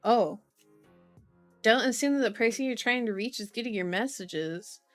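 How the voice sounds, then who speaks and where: A woman's voice: fairly high pitch, normal speed. Chatty woman streamer over music. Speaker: livestream host reading tarot and horoscopes. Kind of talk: casual livestream chat mixed with reading aloud.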